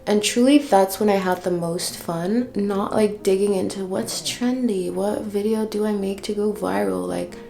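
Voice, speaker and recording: A woman's voice: mid-range voice, measured pace, vocal fry, few pauses, warm tone, soft background music. A young Dutch-born woman in her twenties with an American-sounding accent, chatting casually while doing her makeup, using "like" often. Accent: American-sounding English. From Netherlands. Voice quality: slangy, says "like" often. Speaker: beauty vlogger. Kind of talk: casual get-ready-with-me chat.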